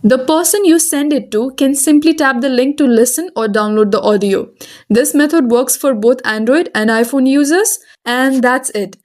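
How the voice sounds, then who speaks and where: A woman with a fairly high voice narrates a how-to guide. She delivers scripted, instructional lines step by step, as the host of a tutorial. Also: moderate pace, darkish tone.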